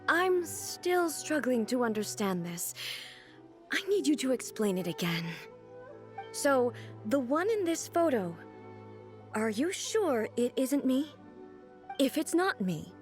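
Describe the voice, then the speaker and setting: An actress playing a calm, formal female ruler goddess in a video game, speaking in a measured, reflective way. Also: sits high, faint music behind, exaggerated voice. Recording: game soundtrack under voice.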